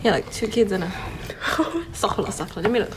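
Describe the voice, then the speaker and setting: A woman's voice: medium pitch, very animated, racing, noisy background. A woman in her twenties co-hosting a casual podcast, telling a story in a giggly, rambling way. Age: 20s. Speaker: podcast co-host. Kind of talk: casual chat.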